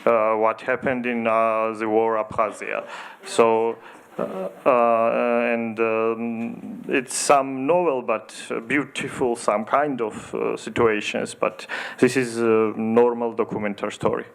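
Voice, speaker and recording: A man with a Georgian accent, a theater director answering in halting English with many fillers, earnest in tone. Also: mid-range voice, level tone, creaky, clear, resonant tone. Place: festival discussion hall.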